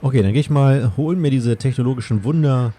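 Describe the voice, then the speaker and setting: A man's voice: mid-range voice, quick pace, mellow. A man doing casual let's play commentary as the host, speaking in a hesitant, playful role-playing way. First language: German.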